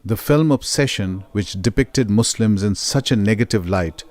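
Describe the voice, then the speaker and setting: A man narrating a documentary in a steady, serious voice. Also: on the low side, normal speed, no room sound.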